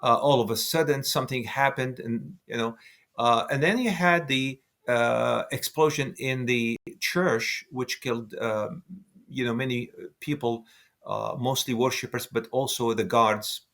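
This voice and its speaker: Older Syrian-accented man, halting delivery. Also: medium pitch, gravelly, a bit bright. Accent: Syrian Arabic. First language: Arabic. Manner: halting, repeats words while searching. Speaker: interview guest, professor and activist. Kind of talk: interview answers, explanatory.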